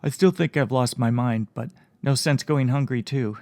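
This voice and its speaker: A man's voice: mid-range voice, normal speed, a little mellow, no room sound, studio quality, lightly acted. A man voicing a dazed, wry young man. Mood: bewildered. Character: bewildered young man, dry humor, anxious.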